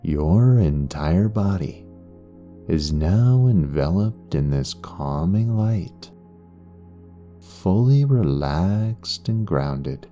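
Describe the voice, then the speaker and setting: A man guiding a meditation in a soothing, unhurried way, leaving long pauses between phrases. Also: deep voice, lively intonation, dark tone. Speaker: meditation guide and hypnotherapist. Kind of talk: guided meditation narration. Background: background music.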